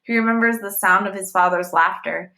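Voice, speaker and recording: A woman narrating a novel as a read-aloud, in short, measured sentences. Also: mid-range voice, bright, forceful tone. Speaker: reader, teacher.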